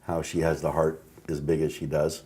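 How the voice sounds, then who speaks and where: A man with a very deep, low voice talks earnestly as a guest in a studio interview. He speaks of his admiration with sincerity and slips in a light joke now and then. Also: little pitch movement, normal speed, dark tone. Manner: earnest, joking. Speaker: guest, husband. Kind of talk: interview conversation.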